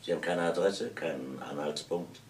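An actor playing a composed police commissioner who questions people in a conversational way in a German crime radio play. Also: male voice, very deep voice, moderate pace. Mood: probing. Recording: noisy old radio play recording.

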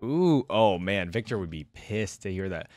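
A man's voice: deep voice, expressive, moderate pace, darkish tone, no room sound, faint music behind. Young man, dry close-miked commentary. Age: young adult. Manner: rambling, informal. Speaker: reaction video host. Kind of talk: casual reaction commentary. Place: indoor room at a desk. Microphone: close desk microphone, headset worn.

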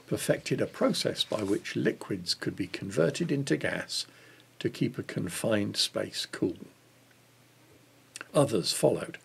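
Older guy in his 60s reading from a church book, measured, raspy n creaky. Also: on the low side. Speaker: reader of a church Lent devotional. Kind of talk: reading a devotional book aloud.

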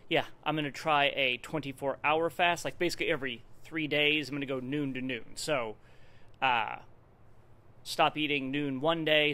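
A man in his forties talking casually and haltingly in a vlog, thinking aloud as he goes. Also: a little high, pushed and bright. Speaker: fitness vlogger. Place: wooden garage with the door open.

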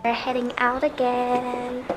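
A woman's voice: on the high side, monotone, slow. A woman in her twenties chatting casually as a travel vlogger, recorded indoors in an apartment. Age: 20s. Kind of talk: casual vlog chat. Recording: roomy echo with music behind. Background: music.

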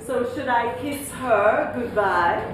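An arch Jamaican wife in a stage comedy, full of dry suspicion. The voice is high and deliberate. It is picked up from far off in an echoey theatre. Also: female voice. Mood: arch, suspicious. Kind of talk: live stage comedy-drama acting. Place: indoor theatre stage before an audience. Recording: echoey distant theatre, audience, background noise.

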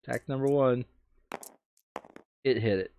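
A man's voice: mid-range voice, measured pace, creaky, a little mellow, clean tone. A man chatting casually and jokingly as he plays a tabletop game with a friend. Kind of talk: casual gameplay chat. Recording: slightly dull, dry close recording.

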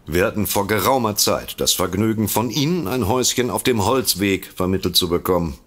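An actor playing a dry, skeptical detective in a comedic audio drama, speaking in a measured, sardonic way. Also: male voice, on the low side, expressive. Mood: polite, businesslike. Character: dry, skeptical male detective, the sensible partner.

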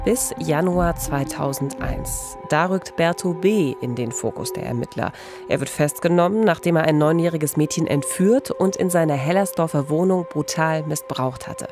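A woman's voice: deep voice, moderate pace, music in the background. A woman with a German accent co-hosting a true-crime podcast, narrating the case and asking questions. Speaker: podcast co-host.

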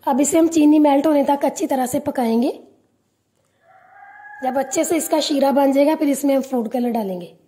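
Woman cooking-channel host, high pitch, warm mellow tone, calm step-by-step tutorial voice-over. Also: moderate pace, long pauses.

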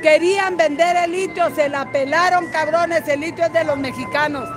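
A woman's voice: high-pitched, normal speed. An older woman from Guatemala speaking as a political activist in an impassioned, combative and salty-tongued street interview. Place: busy city street outdoors. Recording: outdoor street crowd noise, music behind.

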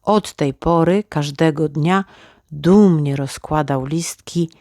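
A woman reading a children's fairy tale as an expressive narrator. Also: sits low, takes it slowly, no room sound, clean studio sound. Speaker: children's story reader.

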